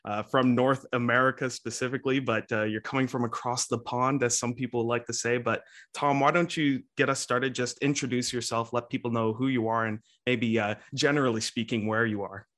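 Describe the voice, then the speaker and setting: A man's voice: mid-range voice, steady tone, a little fast, a bit bright. A man hosting an interview show, friendly and upbeat, with frequent filler uhs. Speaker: podcast host.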